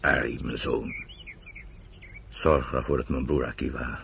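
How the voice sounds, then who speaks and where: An actor playing a proud, stubborn older father weighed down by grief, speaking gravely in a radio play. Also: extremely low pitch, fairly even intonation, a bit bright, a little hiss or hum, exaggerated voice. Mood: grave, sorrowful. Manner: slow, grave, halting into near whispers. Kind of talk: radio drama acting.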